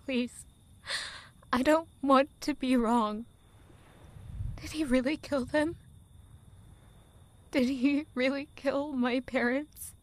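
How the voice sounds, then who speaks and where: A woman's voice: high-pitched, fairly even intonation. A woman playing an anguished, weary young village girl in a dramatic, emotional audio drama monologue. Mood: tearful, desperate. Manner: emotional, slow, deliberate delivery with rhetorical questions.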